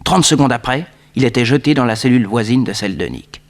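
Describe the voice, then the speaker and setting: A man narrating a 1960s French radio serial as a scripted story, in a measured, dramatic way. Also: mid-range voice, animated.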